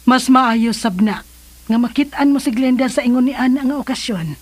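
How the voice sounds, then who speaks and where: An actress with a Cebuano Filipino accent playing a gentle, concerned mother of a grown son in a radio drama. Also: high voice, moderate pace. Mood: tender concern.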